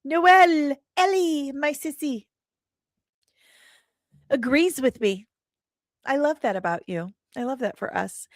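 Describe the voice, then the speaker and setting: A woman's voice: sits high, slow pace, long pauses, no room sound, clean studio sound. A woman hosting an entertainment livestream, talking casually and playfully as she reads and answers chat comments. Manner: playful, chatty, irreverent, with pet names and slang. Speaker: host of an entertainment news livestream.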